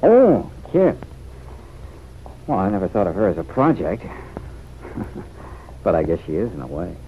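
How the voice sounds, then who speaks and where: Young man, vintage radio serial: lowish voice, very animated, bantering, on a noisy old recording. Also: a little slow, phone-quality sound, full character voice. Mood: earnest. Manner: bantering, chuckling. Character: teasing, good-humoured young man, Mary's son. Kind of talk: old-time radio drama acting.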